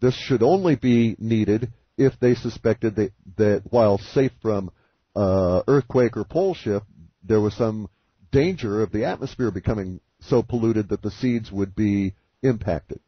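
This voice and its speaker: A man on a conference call giving a deliberate, philosophical explanation in a calm, speculative way. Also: lowish voice, breathy edge, no echo. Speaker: guest speaker on a conference call. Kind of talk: measured explanatory monologue. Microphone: phone line. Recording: muffled phone-call audio.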